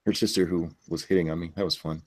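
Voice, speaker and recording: A man's voice: deep voice, level tone, quick pace, a little mellow. A man hosting a livestream in blunt, crude, casual chat. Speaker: livestream host.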